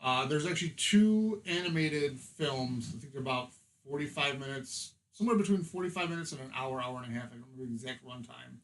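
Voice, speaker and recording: A man's voice: mid-range voice, brisk, fairly bright tone, rough recording. A man chatting as a podcast guest, explaining things in a casual, conversational way.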